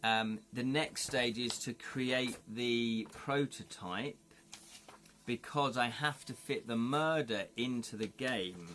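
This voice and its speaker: A man's voice: mid-range voice, fairly bright tone. Chatty man rambling on stream. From United Kingdom. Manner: rambling, chatty, thinks aloud, often says "you know". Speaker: livestream host. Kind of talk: casual chat with viewers.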